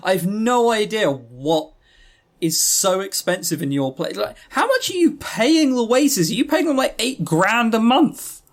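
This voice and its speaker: A man's voice: high voice, big pitch swings, measured pace. A man co-hosting a podcast, chatting casually and giving opinionated reviews with sarcastic, joking exasperation. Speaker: podcast co-host reviewing visual novels.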